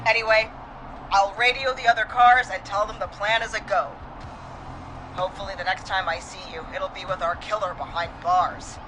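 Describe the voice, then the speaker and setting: A woman's voice: mid-range voice, steady tone, normal speed, over music, close to an everyday voice, rough recording. A woman playing a confident, folksy small-town police deputy, speaking with casual resolve in a dramatic scene. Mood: brisk, determined. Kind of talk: dramatic game voice acting.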